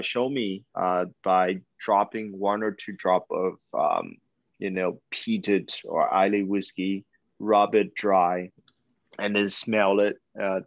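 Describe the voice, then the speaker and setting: A young man from Taiwan, talking casually over a video call as a guest, with many pauses and fillers. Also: on the low side, dry recording. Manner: hesitant, many fillers and restarts. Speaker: whisky brand ambassador guest. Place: indoors, room with whisky bottles and banners. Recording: dull lo-fi call audio.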